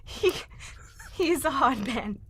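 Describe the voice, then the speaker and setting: A woman's voice: high-pitched, animated, takes it slowly. A woman playing a frightened young client in a live 1940s-style radio mystery, speaking in a hesitant, melodramatic way. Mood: evasive, distressed. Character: Miss Stoner, frightened young woman client seeking help. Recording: faint background noise, live performance.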